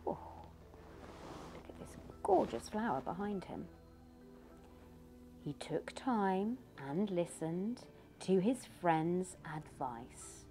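A middle-aged woman reading a picture book aloud to young children in an expressive, teacherly way. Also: on the low side, slow pace, pauses often. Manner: asks listeners simple questions, teacherly. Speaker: children's storybook reader. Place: furnished living room by a fireplace. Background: music.

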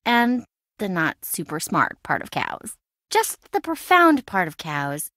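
A woman's voice: fairly low pitch, very animated, slow, fairly bright tone, dry recording, studio quality, heavily acted. An actress voicing a chatty, impulsive young woman in a video game, breezy, wry and self-dramatizing. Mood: breezy, dramatic. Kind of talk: video game voice acting, conversational.

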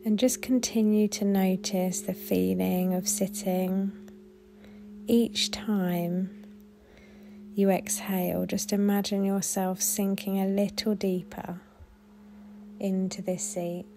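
A woman guiding a body scan meditation in a gentle, soothing, unhurried way with long pauses. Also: mid-range voice, level tone, gravelly, music in the background. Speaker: meditation guide.

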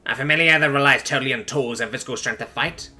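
A man voicing a haughty, boastful old noble magistrate with a gruff delivery, in an audiobook. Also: medium pitch, quick pace, bright, forceful tone, faint music behind. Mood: scornful. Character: pompous, smug old male noble magistrate, villain. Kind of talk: audiobook character voice.

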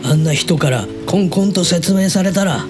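An easygoing middle-aged uncle voiced by a man speaks in a fairly high voice with music behind him. He is casual and blunt, chatting like a soft touch in an audio drama. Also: normal speed, lightly acted. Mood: chatty, amused. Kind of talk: Japanese audio drama dialogue.

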